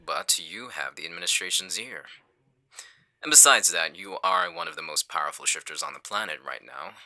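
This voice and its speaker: A man playing a calculating, menacing villain in an audio roleplay, with a smug, condescending, deliberate delivery. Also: sits low, bright, forceful tone. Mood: calm menace. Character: smooth-talking male villain, calculating, menacing leader. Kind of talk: audio roleplay acting on a phone call.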